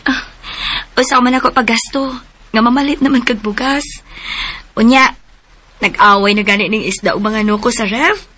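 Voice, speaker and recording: A woman's voice: a little high, fairly even intonation, normal speed, pauses often, sharp tone, a little hiss or hum. A woman with a Cebuano accent voicing a naive, playful young woman in a radio drama, giggly and teasing.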